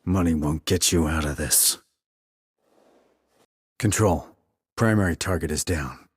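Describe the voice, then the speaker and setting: Low, husky, clipped military sniper. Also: male voice, a little slow, no echo, studio quality, heavily acted. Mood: cool, businesslike. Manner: clipped, controlled military delivery. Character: terse male military sniper operative, calm and focused. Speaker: voice actor in a video game. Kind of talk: tactical radio call acting.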